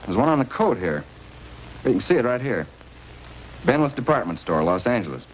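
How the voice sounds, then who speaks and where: A man's voice: medium pitch, big pitch swings, brisk, a little hiss or hum, lightly acted, poor audio. An actor playing a methodical male police lab technician in a 1950s radio crime drama, talking plainly through the evidence. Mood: matter-of-fact.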